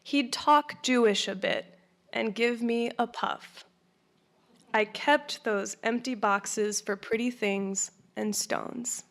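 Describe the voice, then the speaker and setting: Slow, measured woman reading poetry. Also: fairly high pitch, vocal fry. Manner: measured, deliberate. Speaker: poet.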